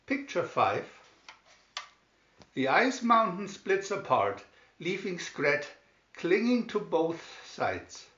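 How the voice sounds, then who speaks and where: A man reading a children's picture-story booklet aloud in scripted narration, deliberately and with pauses. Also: sits high, very animated, a bit bright. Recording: dull lo-fi, roomy echo.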